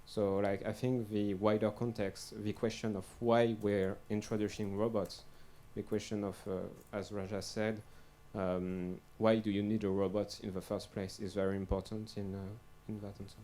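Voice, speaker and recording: A man's voice: lowish voice, flat delivery, moderate pace, hardly any pauses, dark tone, slight background noise. A young man on a robotics panel, answering hesitantly with many fillers and restarts.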